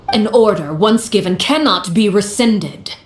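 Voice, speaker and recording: A woman voicing a cold, formal leader of a house, speaking in a measured, stern way. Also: medium pitch, animated, reverberant, slight background noise. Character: cold commanding woman, head of a house, called Father. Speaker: stern matriarch and duelist. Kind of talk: game cutscene voice acting.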